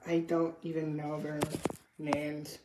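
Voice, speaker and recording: Slow-talking woman in an echoey room. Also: deep voice, completely flat delivery. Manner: halting, repetitive, trailing off. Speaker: toy reviewer. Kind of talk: casual unscripted toy review chat. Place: indoor room with hard tiled floor. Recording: echoey room, background noise, clicks.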